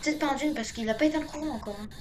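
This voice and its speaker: A woman's voice: sits high, rapid-fire. A woman giving casual video game commentary, thinking aloud to herself and often repeating her phrases. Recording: clear background noise, game ambience.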